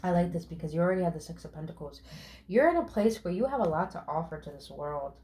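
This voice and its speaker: A woman's voice: lowish voice, expressive, warm tone. A woman giving a casual spiritual tarot reading, affirming and chatty, swearing casually and murmuring "mm" between card meanings. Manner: drawn-out words with murmured "mm". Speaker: tarot reader.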